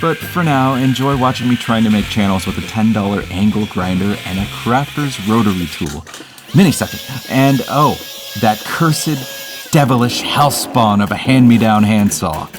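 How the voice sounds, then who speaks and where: A man's voice: lowish voice, animated, normal speed, music in the background. A man hosting a do-it-yourself video with casual, wry, self-deprecating voice-over narration.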